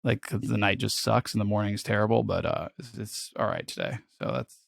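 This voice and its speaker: A sick man with a raspy voice, co-hosting a podcast in a laid-back, joking way. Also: on the low side, very animated, a little fast, no echo, clean studio sound. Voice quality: raspy, gravelly. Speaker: podcast co-host. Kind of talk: casual podcast chat.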